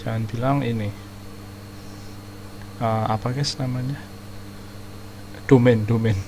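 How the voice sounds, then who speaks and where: A man's voice: medium pitch, steady tone, a little slow, noisy background. A man with an Indonesian accent giving casual let's-play commentary as a gaming streamer. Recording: commentary over loud game music.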